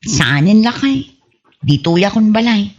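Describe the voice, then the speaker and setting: Woman as a husky, sheepish gossipy wife. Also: mid-range voice, sing-song, unhurried, darkish tone, highs cut off. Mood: earnest, sheepish. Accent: Ilocano. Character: earnest, sheepish gossipy wife vowing to change. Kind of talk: Ilocano radio comedy drama acting.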